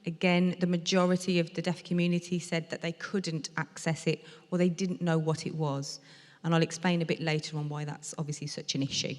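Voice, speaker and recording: A woman interpreter voicing a deaf speaker's talk aloud, in a measured, explanatory way with some fillers. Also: deep voice, little pitch movement. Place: lecture hall at a museum event. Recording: reverberant lecture hall.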